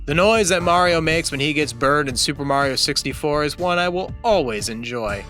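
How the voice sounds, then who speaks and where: Young guy doing scripted video commentary, bright and animated, bit of music under it. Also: on the high side. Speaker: video host. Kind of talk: scripted narration, top-ten countdown commentary. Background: background music.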